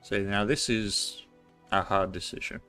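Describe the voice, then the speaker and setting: A man's voice: on the low side, steady tone, unhurried, sharp tone. A man giving casual live commentary as he plays a video game, chatty and informal, thinking aloud. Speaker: game streamer. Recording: game music in the background.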